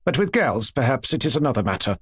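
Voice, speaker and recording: A man's voice: medium pitch, big pitch swings, quick pace, slightly rough, no room sound, clean studio sound. A man reading aloud, voicing a chatty aristocratic princess who talks insistently, as though someone were contradicting her. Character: chatty aristocratic woman, princess, strict mother.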